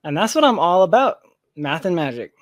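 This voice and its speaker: A man's voice: fairly high pitch, sing-song, fairly quick, no echo, studio quality. A man speaking as an interview guest in casual, reflective conversation, searching for his phrasing as he goes. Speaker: interview guest, tech founder.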